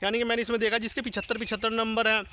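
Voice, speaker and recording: Fast, high-pitched young man. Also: level tone, bright, forceful tone, slight background noise. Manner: insistent, repetitive, urging. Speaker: YouTube exam-prep channel host. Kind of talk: direct-to-viewer advice monologue.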